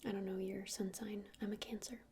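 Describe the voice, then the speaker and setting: A woman's voice: medium pitch, flat delivery, fairly quick, a little hiss or hum, lightly acted. Woman as a whispering, friendly witch shopkeeper. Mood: gentle, helpful. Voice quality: soft breathy whisper. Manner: hesitant, informal explaining. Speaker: ASMR roleplayer. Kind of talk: whispered ASMR roleplay.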